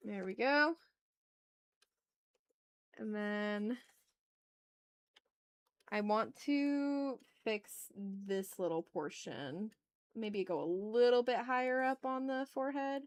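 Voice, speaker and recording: A woman with a fairly high voice hosts a casual drawing livestream. She talks informally, half to herself and half to viewers, in slangy, playfully dramatic asides. The sound is very dry, with no room echo. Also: slow pace, pauses often, clean tone. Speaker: art livestreamer, host.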